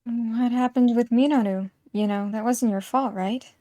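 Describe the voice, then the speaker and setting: A woman's voice: on the high side, steady tone, normal speed, warm tone, no room sound, close to an everyday voice. A woman voicing an earnest, caring teenage visual novel protagonist who speaks up in a forthright, insistent way. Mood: concerned, indignant.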